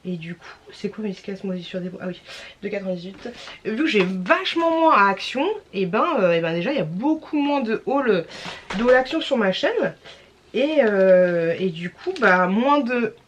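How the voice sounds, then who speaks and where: A woman's voice: mid-range voice, animated, normal speed, slight background noise. A young woman with a cold, talking casually to her vlog audience in a tired, stuffy voice, rambling with many fillers and restarts. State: has a cold. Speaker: vlogger.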